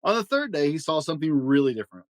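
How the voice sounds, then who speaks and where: A man narrating a children's storybook aloud with expressive delivery. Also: a little high, fast talker, dry recording, clean studio sound. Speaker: storyteller and host.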